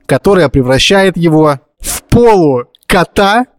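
Male podcast co-host, colloquial storyteller, fairly high-pitched, dry recording. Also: animated, unhurried, no echo. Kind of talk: casual chat, anecdotes.

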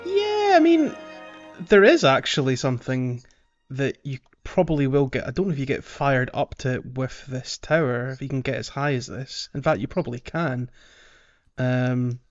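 A man with a mid-pitched voice talks casually about video games as a podcast co-host. He feels his way through sentences, repeating words as he goes. The audio is a little dull and lo-fi. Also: lively intonation, soft background music. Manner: halting, repeats words as he thinks. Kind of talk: casual video game chat.

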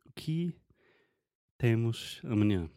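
A man's voice: mid-range voice, sing-song, takes it slowly, mellow, smooth, no echo, studio quality. An American man whose first language is English, hosting a podcast and speaking Portuguese as a learner, with restarts and questions about words. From United States. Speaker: podcast host. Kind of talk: casual conversation.

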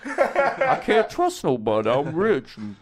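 A man's voice: on the high side, wildly swooping pitch, slow pace, over a noisy crowd, full character voice. A man putting on a stoned, punch-drunk old boxer who mumbles and grumbles in a slangy, rambling way for a comedy skit. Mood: grumbling. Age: older. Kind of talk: improvised comedy podcast skit.

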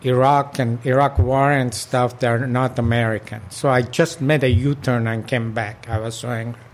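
A man's voice: mid-range voice, level tone, moderate pace. An older man from Iran, a local club president, recalling his past in an oral history interview with many fillers and restarts.

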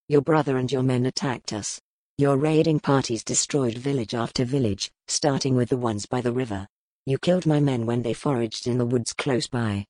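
A woman voicing a grim, powerful male duke, speaking low, measured and brooding in an audiobook. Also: mellow, no echo. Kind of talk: audiobook character voice.

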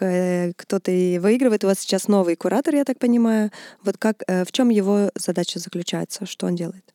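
A woman hosting a podcast, asking measured, inquisitive questions with some hesitation. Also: fairly low pitch, dark tone, no echo, clean studio sound. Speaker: podcast host. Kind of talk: interview questions.

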